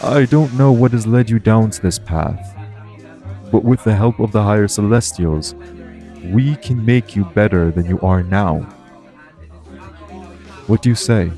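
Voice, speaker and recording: A man playing a composed, formal angel in an audio roleplay, speaking in a measured, persuasive way. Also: on the low side, dark tone, soft background music. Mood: calm, persuasive. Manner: formal, measured, solemn recruiter.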